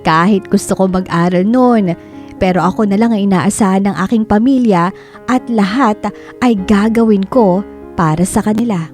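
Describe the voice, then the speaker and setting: Radio drama narrator: actress playing dutiful eldest daughter, thirties, earnest and plaintive, mid-range voice over background music. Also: female voice, medium pitch, moderate pace, lightly acted. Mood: plaintive, resolute. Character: Karen, 33-year-old dutiful eldest daughter recounting family hardship. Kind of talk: scripted dramatic first-person radio narration. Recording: soft background music under the voice.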